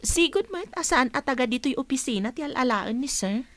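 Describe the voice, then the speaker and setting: A woman's voice: sits high, animated, fairly quick, fairly bright tone. An actress playing a chatty, gossiping female office colleague in an Ilocano radio comedy-drama.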